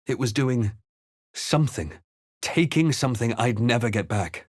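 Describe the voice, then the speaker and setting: An actor playing a brooding fantasy adventurer in a game, narrating his quest in a grave, measured, deliberate way. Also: medium pitch, no echo, studio quality, natural voice. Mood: somber, reflective. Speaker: video game player-character voice. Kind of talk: dramatic first-person game narration.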